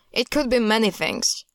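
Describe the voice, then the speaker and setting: A woman's voice: on the high side, gravelly, no room sound, studio quality, full character voice. A woman playing a blunt, guarded cult hunter in an audio drama, grave and matter-of-fact.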